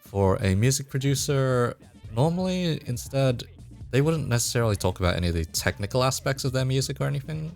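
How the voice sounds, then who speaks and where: A man's voice: mid-range voice, animated, a little fast, creaky, darkish tone, faint music behind. A man hosting an online interview, talking in a laid-back, rambling way and laughing often. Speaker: interviewer, host. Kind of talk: casual interview chat.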